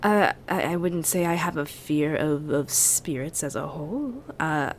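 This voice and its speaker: A woman's voice: low-pitched, a little slow, slight background noise, voice pushed down. A woman voicing a nervous, stammering male spirit summoner in a tabletop game. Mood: nervous, defensive. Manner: stammering, hesitant.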